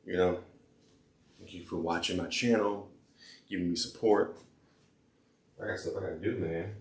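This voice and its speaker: A hoarse man losing his voice, hosting his own channel in a rambling, casual way. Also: sits low, steady tone, moderate pace. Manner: rambling, full of fillers and restarts. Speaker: channel host. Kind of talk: casual rambling vlog talk. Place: indoors in a room. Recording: echoey room, mic at a distance, faint noise.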